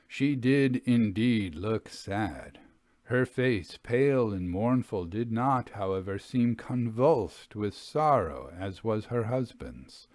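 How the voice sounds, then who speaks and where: A man reading a classic ghost story as narrator, in a solemn, deliberate and dramatic literary style. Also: fairly low pitch, expressive, darkish tone, dry recording.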